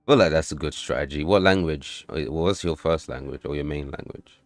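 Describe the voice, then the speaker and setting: A man with an extremely deep voice talks loosely to his livestream chat while coding and playtesting, swearing freely and breaking into sudden excited outbursts. His voice sounds dry and close, with no room echo. Faint music plays in the background. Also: a little fast. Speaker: livestreamer and game developer.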